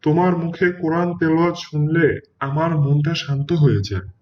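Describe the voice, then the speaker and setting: A man voicing an affectionate, devout father in an Islamic moral cartoon, gentle and doting, on a muffled recording. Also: fairly high pitch, brisk, close to an everyday voice. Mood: grateful, fond. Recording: muffled, slightly distant, noisy ambience.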